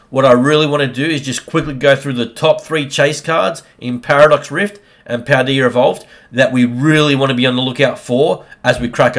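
Slightly husky upbeat man. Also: a little high, fairly bright tone. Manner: upbeat, hype-building, chatty. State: slightly husky from a recent bug. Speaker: card-opening YouTuber host. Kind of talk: casual pack-opening vlog. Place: home room with colored lights. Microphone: studio mic on a desk arm.

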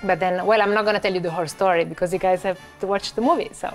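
A woman's voice: on the low side, steady tone, very fast, faint music behind. Playful woman with Italian accent. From Italy. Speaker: actor. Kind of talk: press interview.

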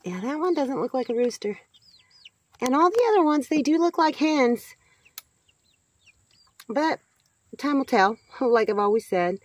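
A woman's voice: high voice, big pitch swings, a little slow, long pauses, no echo. Chatty homesteading woman, dry close sound. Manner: chatty and folksy, talks to her chickens. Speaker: homesteading vlogger. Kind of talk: casual vlog chat. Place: outdoors on a homestead among chickens. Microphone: handheld camera or phone at arm's length.

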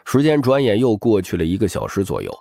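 Low-voiced man narrating, very animated. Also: fast talker, vocal fry, soft background music. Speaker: audiobook narrator. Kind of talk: dramatic scripted narration.

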